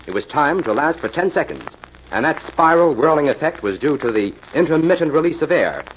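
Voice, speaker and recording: An actor playing a confident, shrewd detective who debunks ghosts, brisk and matter-of-fact in 1940s radio style. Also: male voice, on the high side, big pitch swings. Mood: assured, analytical. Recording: muffled, noisy old radio recording.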